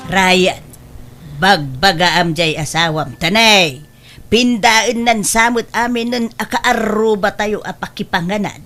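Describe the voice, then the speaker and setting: A woman's voice: medium pitch, slow pace, a bit bright. A long-suffering older mother-in-law in a comedy drama, played by a woman, with a mid-range voice. She complains in an Ilocano Filipino accent. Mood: reproachful.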